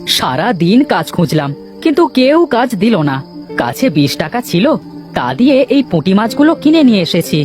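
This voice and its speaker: A poor village father in a cartoon, voiced by a man. He sounds plaintive and soft-hearted, with a high, very expressive voice over light music. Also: normal speed. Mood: gentle, weary. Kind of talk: Bengali cartoon voice acting.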